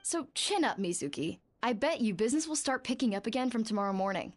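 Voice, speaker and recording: Brash, feisty young worker. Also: female voice, fairly high pitch, expressive, quick pace. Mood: smug. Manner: brash, impatient, grumbling. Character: blunt, feisty young female bathhouse worker. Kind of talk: video game voice acting.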